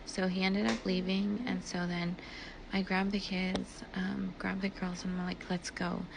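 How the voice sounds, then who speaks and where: Woman, lowish creaky voice, casual rambling storyteller quoting conversations, noisy amateur clip. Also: little pitch movement, measured pace. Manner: filler-heavy, conversational, quotes dialogue as she retells. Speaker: speaker recounting personal events. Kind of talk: casual personal storytelling, like a voice message.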